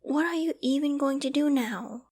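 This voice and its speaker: A woman acting a clingy, secretly obsessive young apocalypse survivor in a dramatic ASMR audio roleplay, with an emotional, halting, stammering delivery. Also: high voice, a little mellow, lightly acted. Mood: desperate, distraught. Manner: emotional, halting and stammering, often dropping to whispers and sighs.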